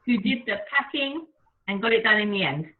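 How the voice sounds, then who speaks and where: A woman leading an online group course over a video call, talking in a gentle, soothing way. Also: medium pitch, animated, brisk. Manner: gentle, soothing, laughs easily. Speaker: course facilitator. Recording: tinny phone-like call audio.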